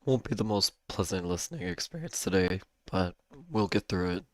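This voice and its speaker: A man hosting a live day-trading stream, hoarse and losing his voice, talking casually with a cough drop in his mouth and clearing his throat. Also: low voice, animated, normal speed, no room sound. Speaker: livestream day-trading host.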